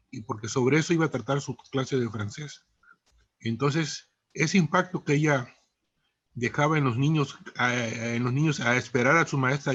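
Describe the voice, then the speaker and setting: A man joining a webinar by video call, telling a story in a measured, hesitant way. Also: mid-range voice, fairly bright tone, husky. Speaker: webinar participant. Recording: dull lo-fi video call audio.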